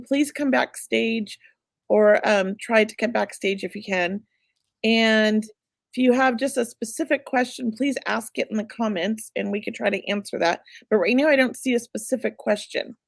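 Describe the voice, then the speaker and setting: A woman hosting a live psychic reading, chatty and reassuring, going a bit hoarse as she loses her voice. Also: on the high side, moderate pace, dry recording, clean studio sound. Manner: chatty, reassuring, many filler words. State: losing her voice. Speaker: psychic medium host.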